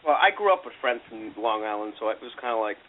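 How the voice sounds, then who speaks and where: A man's voice: on the low side, lively intonation, fast. A man co-hosting a podcast in casual chat, joking and laughing at his own stories. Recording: tinny phone-line sound.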